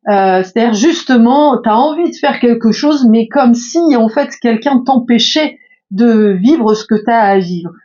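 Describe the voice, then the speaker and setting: A woman's voice: fairly high pitch, unhurried, dark tone, highs cut off. A French woman in her fifties, a spiritual coach speaking casually from her bedroom, hesitant with many fillers and restarts. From France. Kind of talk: casual reflective monologue.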